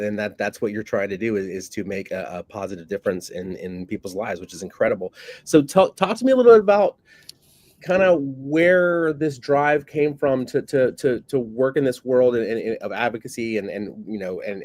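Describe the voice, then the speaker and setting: A man's voice: mid-range voice, darkish tone, dry recording. Man, podcast host, enthusiastic, stumbling restarts, dry close recording. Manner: enthusiastic, repeats words and restarts often. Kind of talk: podcast interview.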